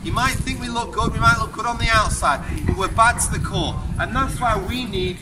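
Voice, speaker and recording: A young man delivers an open-air sermon in a high, carrying voice, firing rhetorical questions at passers-by. He is caught from a distance by a camera on a tripod. Loud street noise and traffic fill the background. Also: steady tone, fairly quick. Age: young adult. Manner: loud, emphatic, projecting to passers-by; asks the crowd repeated rhetorical questions. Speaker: street preacher. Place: outdoor town square, busy pedestrian street. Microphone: far camera on a tripod. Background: loud street ambience and passing traffic.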